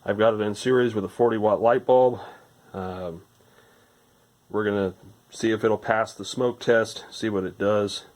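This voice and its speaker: Very low-pitched man thinking aloud while tinkering. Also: fairly even intonation, unhurried, a little mellow. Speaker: electronics repair hobbyist presenting a restoration. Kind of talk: informal hands-on repair narration.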